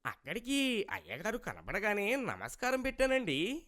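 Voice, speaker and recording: A man voicing a sly, greedy, smooth-talking vegetable seller in a cartoon moral story, with wheedling, folksy street-vendor talk. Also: high-pitched, huge pitch swings, normal speed, pushed and bright, full character voice. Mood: slyly apologetic.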